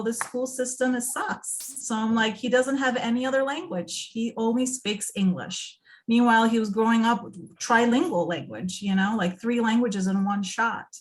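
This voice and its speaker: A woman moderating a panel, chatting casually with quick asides. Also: fairly high pitch, low-quality recording.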